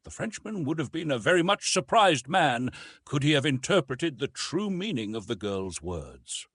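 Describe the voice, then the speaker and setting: A male audiobook narrator reads an old adventure novel with plenty of rise and fall. His middle-pitched voice is husky and recorded very dry. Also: big pitch swings, moderate pace, a bit bright, no room sound.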